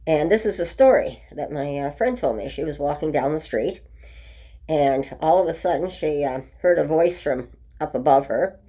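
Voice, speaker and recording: A woman talking casually in a home video update, her voice rough from a cold, full of ums and restarts. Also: deep voice, expressive, moderate pace, warm tone. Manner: chatty, many ums and restarts. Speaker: vlogger. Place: at home by a window.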